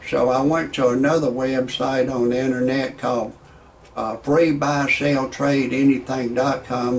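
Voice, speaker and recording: A man's voice: mid-range voice, a little mellow, a little hiss or hum. A man telling jokes and stories in a rambling comedic monologue, often stammering and restarting as he chuckles through his own material. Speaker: amateur comedian commentator.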